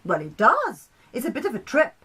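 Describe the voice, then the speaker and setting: A woman voicing a resentful, jealous male planet in a storybook, insistent and quick to defend himself. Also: a little high, huge pitch swings, a bit bright, breathy edge, voice pushed up, full character voice. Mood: defensive.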